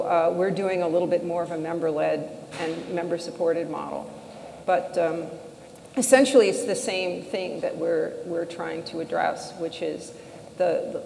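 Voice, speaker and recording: Lower-pitched woman panelist in an echoey hall. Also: creaky, a little mellow. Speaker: panel speaker, biotech co-founder. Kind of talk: conversational panel talk. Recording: echoey hall, background noise.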